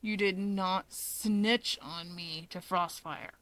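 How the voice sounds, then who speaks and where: Casting: grumpy young male villain read by a woman, lowered voice, clipped sarcastic delivery, storybook narration. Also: slow pace, dry recording, rough recording. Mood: groaning accusation. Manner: flat, curt, irritated.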